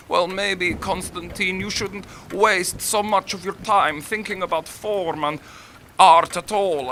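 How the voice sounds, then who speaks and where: Anguished young writer, brightly intense. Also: male voice, on the high side, a little hiss or hum. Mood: bitter self-loathing. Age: young adult. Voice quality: intense, emotional delivery. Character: anguished, self-doubting young male writer, lovesick and volatile. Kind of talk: audio drama acting.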